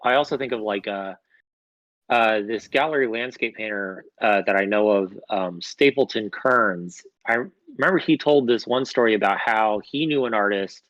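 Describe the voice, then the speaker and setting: A man's voice: fairly low pitch, a bit bright, no room sound, lo-fi sound. A man, a podcast host, telling a rambling anecdote in casual chat, swearing freely.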